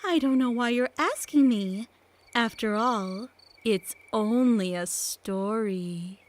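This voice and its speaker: A woman playing a mysterious storyteller who tells eerie tales to children in a theatrical, hushed, suspenseful way in an audio play. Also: a little high, takes it slowly. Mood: musing, mysterious.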